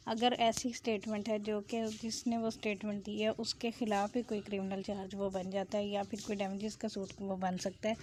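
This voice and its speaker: A woman's voice: medium pitch, darkish tone, slight background noise, low-quality recording. A woman with a Pakistani accent giving an explanatory law lecture, reading legal text and explaining it, with English legal terms mixed in.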